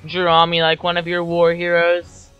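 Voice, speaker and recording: A young man streaming a war strategy game from a desk at home, yelling at the game and putting on silly voices. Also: on the high side, completely flat delivery, a little slow, narrow, tinny audio, music in the background. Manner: yelling and screeching at the game, silly mock accents. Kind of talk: excitable gaming commentary.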